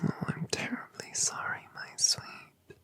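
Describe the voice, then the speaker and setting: A woman voicing a colossal, gentle, motherly dragoness in a whispered audio drama, soothing and affectionate with genteel phrasing. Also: a little slow, no echo, close to an everyday voice. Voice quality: soft whisper.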